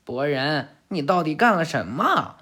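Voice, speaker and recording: A man voicing a sharp, scolding young ninja girl. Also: a little high, sing-song, measured pace, slightly rough, exaggerated voice.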